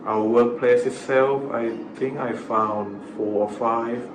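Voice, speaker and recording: A man from Thailand, a native Thai speaker with a Thai accent, chatting casually as an interview guest in a halting way that repeats words. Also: medium pitch, steady tone. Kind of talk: casual chat. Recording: echoey room with clear background noise.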